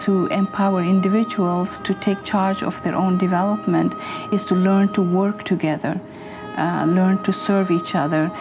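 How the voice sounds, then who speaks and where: A woman's voice: on the low side, fairly even intonation, normal speed, music in the background. A woman who co-founded an institute, explaining its aims earnestly in a documentary interview.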